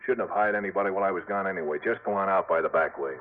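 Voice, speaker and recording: An actor playing a tough, brusque restaurant owner, dismissive in manner, in 1950s radio drama style. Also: male voice, low voice, very fast, phone-quality sound, natural voice. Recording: thin, band-limited old radio recording.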